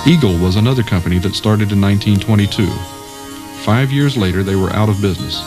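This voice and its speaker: A man's voice: fairly low pitch, fast. A man reading scripted documentary narration. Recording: music under the voice. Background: music.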